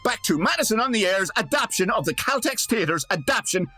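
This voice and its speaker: A man's voice: sits high, animated, fast, over music. A man narrating in a theatrical comedic radio style, with an arch, dramatic delivery.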